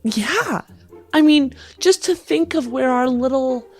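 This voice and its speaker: A woman's voice: high voice, lively intonation, slow, darkish tone, faint music behind, pitched above the performer's own voice, exaggerated voice. Woman playing a gushing, pushy would-be adoptive mother. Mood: pleading, insistent. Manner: effusive, insistent, sugary.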